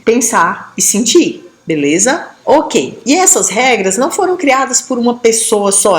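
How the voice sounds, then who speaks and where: A woman from Brazil giving a sociology lesson, explaining step by step and checking in with quick tag questions. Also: fairly high pitch, reverberant. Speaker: sociology teacher.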